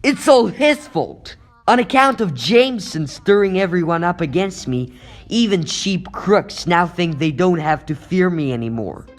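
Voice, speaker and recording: Guy doing a young superhero for a comic dub, high voice swooping all over, wisecracky and sorry for himself. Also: expressive, a little slow. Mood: brooding, determined. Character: young male superhero, self-pitying, wisecracking, easily flustered. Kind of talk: animated superhero cartoon-style dub.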